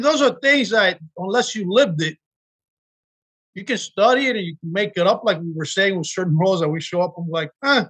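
A middle-aged man from Miami, a guest actor telling animated stories about auditions in a casual interview. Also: high voice, husky, poor audio. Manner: animated storyteller, acts out quotes. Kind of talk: casual podcast interview storytelling.

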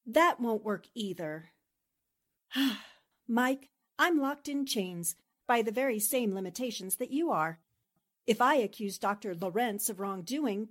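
A woman playing a shrewd, take-charge newspaper reporter in old-time radio drama style, brisk, decisive and articulate. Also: on the high side. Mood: firm, scheming.

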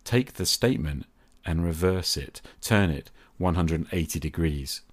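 A man hosting a podcast, speaking reflectively in deliberate short phrases and posing rhetorical questions. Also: sits low, lively intonation. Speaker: podcast host. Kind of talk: reflective solo podcast monologue.

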